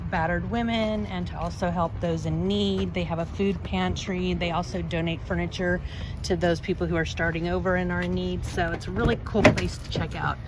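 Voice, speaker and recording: Female vlog host, lowish pitch, casual shopping narration, very noisy phone recording. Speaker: vlog host, thrift reseller. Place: indoors in a thrift store and outside by its entrance. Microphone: handheld phone.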